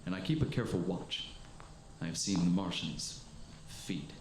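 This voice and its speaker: Man acting a low, solemn haunted professor in echo. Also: a little mellow, close to an everyday voice. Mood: grim, weary. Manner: grave, deliberate, literary storytelling. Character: solemn, haunted professor, lone survivor of alien invasion. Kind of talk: 1930s radio drama narration, monologue. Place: live performance before an audience in a hall. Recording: echoey live stage, faint noise.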